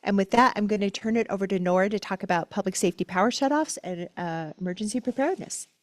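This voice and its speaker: A woman's voice: medium pitch, fast. A woman speaking as a company representative at a community meeting, explaining things in a conversational, informal way. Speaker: utility company representative presenting.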